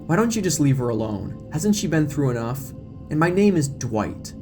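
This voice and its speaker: A man's voice: on the high side, sing-song, moderate pace. A man playing an earnest, protective, wordy young small-town deputy in an audio drama. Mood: indignant. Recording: music bed behind the voice.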